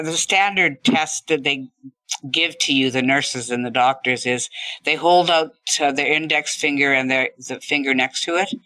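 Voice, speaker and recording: A woman with a bright, forward voice talks as a guest in an interview. She shares personal anecdotes conversationally and with emphasis, and the sound is dry with no room around it. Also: low-pitched, no room sound, low-quality recording. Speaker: interview guest, stroke survivor.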